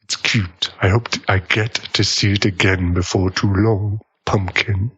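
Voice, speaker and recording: A man's voice: fairly low pitch, steady tone, a little slow, fairly bright tone, breathy edge, muffled recording. A man playing a smug, possessive young vampire in an ASMR boyfriend roleplay, teasing and coolly menacing. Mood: teasing, affectionate. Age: young adult. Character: smug, possessive young adult male vampire, doting and predatory.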